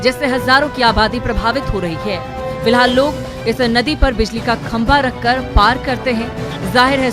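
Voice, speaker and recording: A woman reading a scripted television news voice-over. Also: a little high. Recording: loud music bed under voice. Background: music.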